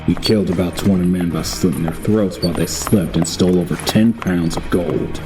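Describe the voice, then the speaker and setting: Male actor as grizzled cowboy bounty hunter, low voice, laconic, wry, audio drama narration, music underneath. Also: fairly even intonation, fairly quick, lightly acted. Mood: gruff, wry. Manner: laconic, wry, tough-talking.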